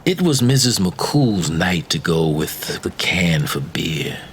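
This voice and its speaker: Deep-voiced man narrating a dark tale. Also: big pitch swings, takes it slowly, a little hiss or hum. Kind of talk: dramatic scripted story narration.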